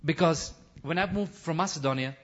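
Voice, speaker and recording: A man who is a missionary pastor, preaching an impassioned testimony sermon in non-native English, building to shouts, with frequent restarts. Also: fairly high pitch, moderate pace. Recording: dull lo-fi recording.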